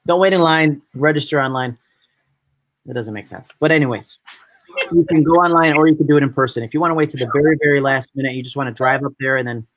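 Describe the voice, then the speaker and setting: A man from upstate New York hosting a casual live video chat with guests, talking in a chatty, animated way. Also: medium pitch, no echo. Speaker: host.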